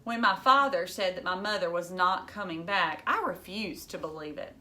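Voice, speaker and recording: A woman's voice: fairly low pitch, moderate pace, sharp tone. A woman reading a children's novel aloud expressively, like a teacher narrating to pupils.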